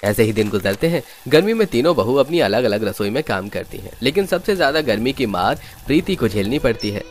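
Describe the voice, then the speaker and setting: A man's voice: mid-range voice, expressive, fairly quick. A man reading scripted storybook narration for a cartoon. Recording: music under the voice.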